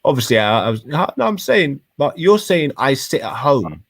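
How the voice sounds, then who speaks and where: A British man in his thirties in a heated debate, speaking in halting, insistent, repetitive bursts with sweary slang. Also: mid-range voice, very animated, breathy edge, no room sound, studio quality. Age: 30s.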